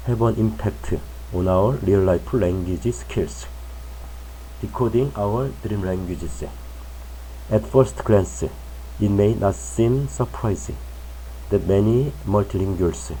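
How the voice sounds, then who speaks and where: Male narrator reading a feature article, low mellow voice, unhurried and careful delivery. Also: slight background noise, poor audio. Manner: slow, careful, measured reading. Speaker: English news reader.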